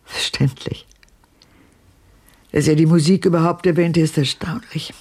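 An older actress playing a haunted, wistful elderly woman in a radio drama, husky and gravelly, hesitantly recalling her past. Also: low voice, steady tone, darkish tone. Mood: haunted, reflective. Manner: hesitant, halting, reflective. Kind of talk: German radio drama acting.

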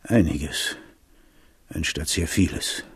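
An actor playing a grave, wary Huguenot admiral in a German radio drama, measured, weighty and stern. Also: male voice, a bass voice, breathy edge, no room sound, clean studio sound, full character voice. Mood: sober, resolute.

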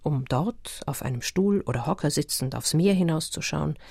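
A woman narrating a radio play in a measured, essayistic, literary manner. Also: low-pitched, expressive, gravelly, darkish tone, husky, no room sound, studio quality, exaggerated voice. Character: measured documentary narrator of explorer history.

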